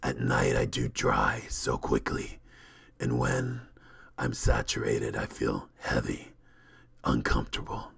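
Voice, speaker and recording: A man's voice: extremely low pitch, wildly swooping pitch, slow pace, vocal fry, fairly bright tone, husky, voice pushed down, exaggerated voice. A man voicing a brooding supernatural being who speaks in a stilted, formal, solemn manner. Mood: brooding, grave.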